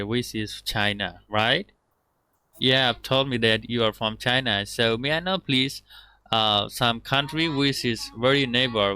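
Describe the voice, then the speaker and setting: A man from Bangladesh hosting a casual online practice conversation, speaking slowly and politely, with a lot of repetition. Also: medium pitch, pushed and bright, smooth, no echo. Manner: slow, repetitive, polite phrasing. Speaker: conversation host.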